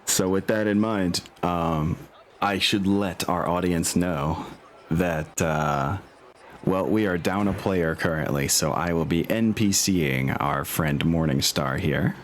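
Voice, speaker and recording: A man's voice: low voice, takes it slowly, slight background noise. A man narrating a tabletop role-playing game as game master, in an informal, improvised way.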